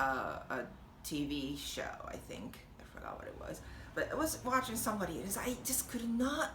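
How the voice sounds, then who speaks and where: A young woman with a Japanese accent, chatting warmly and encouragingly to camera, laughing often. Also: medium pitch. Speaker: vlogger and language teacher. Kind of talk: casual encouraging talk to camera. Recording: echoey room with faint noise.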